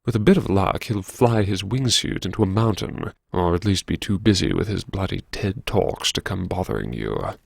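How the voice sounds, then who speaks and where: A man's voice: low voice, expressive, gravelly, no room sound, full character voice. A man playing a wry, brooding, disgraced businessman who mutters to himself in a theatrical audiobook monologue. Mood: dry, brooding. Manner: sardonic, theatrical, muttering to himself with sighs and pauses. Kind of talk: first-person audiobook monologue, dramatic reading.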